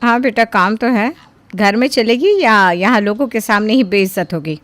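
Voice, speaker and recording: A woman playing an angry, sharp-tongued older neighbour who confronts people in a cartoon story. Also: medium pitch, animated, normal speed, dry recording, lightly acted. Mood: confrontational anger. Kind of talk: cartoon voice acting.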